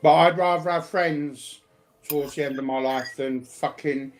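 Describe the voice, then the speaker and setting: A man's voice: on the high side, fairly even intonation, a little slow. A man talking in a casual, rambling, colloquial way, often repeating himself. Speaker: speaker sharing personal life news.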